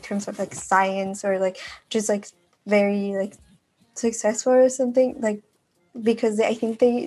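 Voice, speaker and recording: A young woman from Mexico, a university student talking casually in a podcast discussion in a halting way, often saying "like". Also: medium pitch, a little mellow. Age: young adult. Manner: halting, frequent filler "like".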